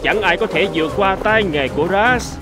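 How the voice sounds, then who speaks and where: A man's voice: high voice, moderate pace, over music, lightly acted. A man voicing a boastful, greedy seabird in a cartoon, swaggering and exclaiming. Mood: gloating. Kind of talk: cartoon dubbing.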